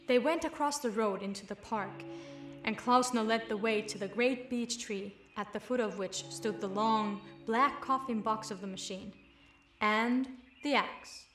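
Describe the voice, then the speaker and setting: A woman's voice: fairly high pitch, a little slow. A woman reading scripted story narration as the narrator of a radio drama. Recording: roomy, echoing voice track. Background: music.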